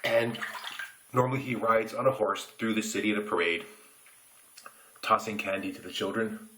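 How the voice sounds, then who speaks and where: An American man telling a childhood story in a relaxed, reflective way while shaving, recorded on a phone camera in a bathroom. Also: on the low side, slight background noise, low-quality recording. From United States. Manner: reflective, unhurried anecdotes with pauses. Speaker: shaving reviewer.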